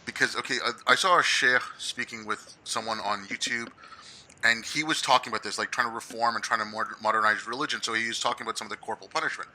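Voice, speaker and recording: A man's voice: medium pitch, fairly even intonation, quick pace, sharp tone, a little hiss or hum, low-quality recording. A young man hosting a podcast, talking casually and peppering his speech with "like.". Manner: casual, says "like" and "yo" a lot. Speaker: podcast host.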